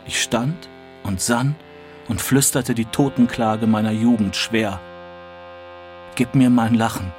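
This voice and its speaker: A man's voice: medium pitch, steady tone, slow pace, pauses often, darkish tone, faint music behind, natural voice. A man playing an earnest, melancholic young writer, reciting literary texts in a radio play. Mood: melancholy.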